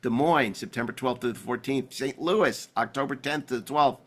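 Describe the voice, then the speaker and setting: Guy doing podcast host chat, enthusiastic, kinda wry, rambles a bit, middle-of-the-road pitch. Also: moderate pace. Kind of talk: casual podcast banter.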